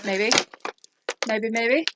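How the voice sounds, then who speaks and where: A woman's voice: on the high side, extremely slow, very noisy. A woman hosting a casual doll review, rambling along with lots of ums and self-corrections. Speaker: toy review channel host.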